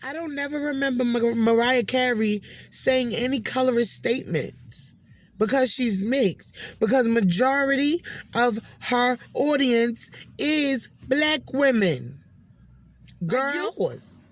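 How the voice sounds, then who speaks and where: A woman's voice: on the high side, lively intonation, slow pace. A woman hosting opinionated commentary, speaking in an emphatic, scolding manner and repeating phrases for stress. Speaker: host of a commentary and reaction channel. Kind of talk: opinionated social commentary, addressing an absent person.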